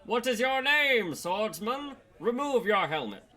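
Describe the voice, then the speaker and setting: Sharp-voiced, pompous fantasy king. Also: very high-pitched, big pitch swings, a little slow, full character voice. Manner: pompous, commanding. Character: haughty, angry king and stern father. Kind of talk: comedic fantasy audio drama acting.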